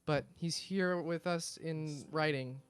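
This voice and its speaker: A man's voice: fairly high pitch, slow pace, clean tone. A young man hosting a radio show, chatting casually in a jokey, mock-boastful way. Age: young adult. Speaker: radio show host and writer. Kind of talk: casual on-air chat. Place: radio studio.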